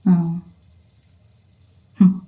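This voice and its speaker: A woman playing a sardonic, predatory vampire in an intimate roleplay audio drama, with a husky, breathy voice and a playful, drawling delivery. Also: drawn-out words, warm tone. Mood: teasing, wry. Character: teasing, unrepentant female vampire, sardonic and predatory.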